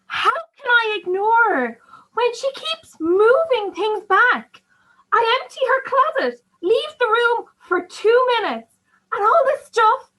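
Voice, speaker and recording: A woman's voice: very high voice, very animated, takes it slowly, sharp tone, heavily acted, rough recording. A woman playing an exasperated, complaining young granddaughter in a radio play. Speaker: actor in an amateur radio play.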